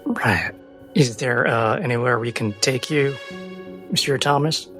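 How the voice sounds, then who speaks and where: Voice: mid-range voice, unhurried, fairly bright tone, faint music behind, voice pushed down, natural voice. A performer voicing a worried, apologetic uncle in a tabletop game, stammering politely and hesitantly. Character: worried, flustered, apologetic uncle travelling with young companions.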